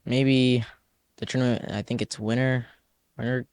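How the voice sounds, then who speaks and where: A man's voice: mid-range voice, flat delivery, normal speed, a little mellow. A teenage boy, a youth soccer player, answering interview questions in a loose, rambling way full of "like" fillers. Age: teens.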